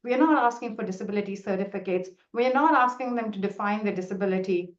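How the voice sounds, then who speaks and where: A woman's voice: medium pitch, quick pace. A middle-aged woman whose first language is Hindi, a researcher answering questions in a webinar in an earnest, explanatory way with frequent restarts. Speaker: researcher presenting findings. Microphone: video call. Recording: roomy, muffled video call audio.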